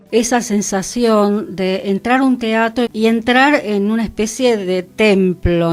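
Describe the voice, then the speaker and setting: A woman's voice: medium pitch, a little mellow. A woman with an Argentine accent hosting a cultural radio talk, explaining things in a reflective, conversational way. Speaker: radio host. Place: radio studio. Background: music.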